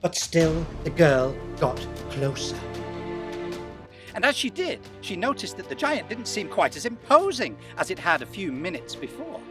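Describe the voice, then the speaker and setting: Animated, high-voiced male storyteller. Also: music in the background. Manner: theatrical, emphatic, suspenseful pauses. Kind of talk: dramatic folktale narration.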